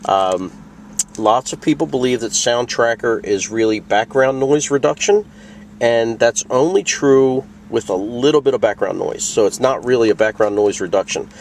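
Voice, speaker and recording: A man's voice: mid-range voice, moderate pace, slight background noise. A man presenting a product tutorial in a casual, plain-spoken, conversational way, walking through things step by step. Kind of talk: casual instructional walkthrough.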